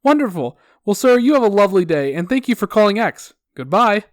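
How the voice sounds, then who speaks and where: Relentlessly positive phone rep, played by a male narrator, high pitch, over-polite, dry studio-close sound. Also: big pitch swings, brisk, no room sound. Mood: sickly sweet. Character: relentlessly cheerful, sugary male customer service rep.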